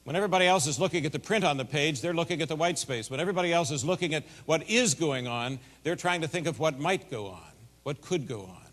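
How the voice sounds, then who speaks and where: A middle-aged man giving a keynote speech, telling a folksy, animated story in a big voice. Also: fairly high pitch, quick pace. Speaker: keynote speaker. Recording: long hall reverb.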